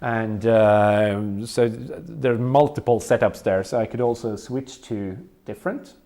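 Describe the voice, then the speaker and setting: A man's voice: on the low side, measured pace, warm tone. A man with a Swedish accent, a native Swedish speaker, walking through tech equipment in a casual tour with many ums and uhs. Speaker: event organizer showing equipment.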